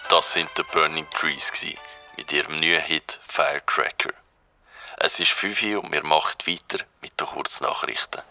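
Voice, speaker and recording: A man's voice: rumbling low, steady tone, moderate pace, vocal fry, bright, forceful tone, soft background music, full character voice. A man voicing a radio news presenter in a cartoon, with announcer delivery and a Swiss German accent. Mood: newsy.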